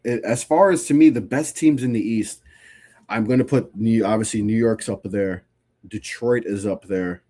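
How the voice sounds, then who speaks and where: A man in his thirties with a somewhat low voice hosts a relaxed live-stream sports show. He sounds stuffy from a cold. He talks loosely, answering his chat and often restarting his sentences. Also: normal speed. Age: 30s. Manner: loose and conversational, frequent restarts. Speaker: podcast host.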